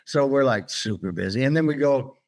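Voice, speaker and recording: A man in his sixties talks in a loose, blunt way, swearing freely and sounding worn out. His pitch sits in an ordinary middle range, and he comes through a video call. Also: lively intonation, a little fast. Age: 60s. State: tired. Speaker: guest guitarist. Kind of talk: casual interview chat.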